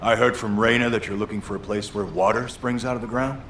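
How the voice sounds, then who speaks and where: A man's voice: on the low side, fairly even intonation, fast talker, fairly bright tone. A man voicing a friendly, eager construction corps veteran in a video game, chatty and earnest, in a roomy, noisy recording. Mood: polite, eager.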